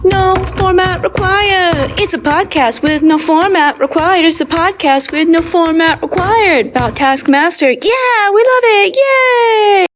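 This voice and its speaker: A high-pitched woman delivers a homemade podcast jingle at a slow pace. The amateur recording has faint noise and clicks. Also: lively intonation. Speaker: podcast contestant. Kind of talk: improvised homemade jingle performance.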